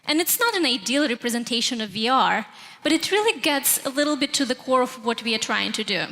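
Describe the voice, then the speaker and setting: A woman with a Russian accent presents a prepared talk to a conference. Her voice sits high and has a bright, projected edge. It rings through a large hall with long reverb. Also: expressive, a little fast. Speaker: presenter at a youth tech summit.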